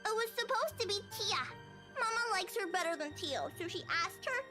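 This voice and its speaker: A woman's voice: very high voice, animated, brisk, pitched above the performer's own voice. A woman voicing a chatty, trusting little girl in a visual novel game, with a childlike, earnest manner. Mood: innocent, chatty. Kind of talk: voiced visual novel character. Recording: music playing underneath.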